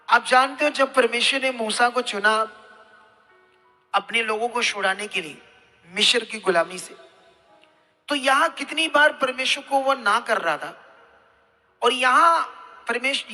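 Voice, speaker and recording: High, forceful male preacher in a hall. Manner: emphatic, questioning the congregation. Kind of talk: sermon to a congregation. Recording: hall reverb, music behind.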